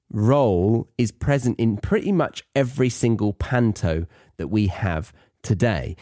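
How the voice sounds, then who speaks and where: A British man hosting an educational podcast in a conversational, chatty and reflective way, with thoughtful pauses. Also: fairly low pitch, warm tone, no echo, studio quality. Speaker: podcast host and English teacher.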